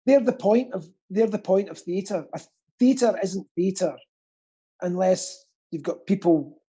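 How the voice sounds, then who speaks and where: A man's voice: sits high, slow, dark tone, breathy edge. A man chatting casually as a guest, rambling and earnest, with a self-deprecating streak. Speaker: guest, pantomime actor and theatre stagehand. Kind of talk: casual chat.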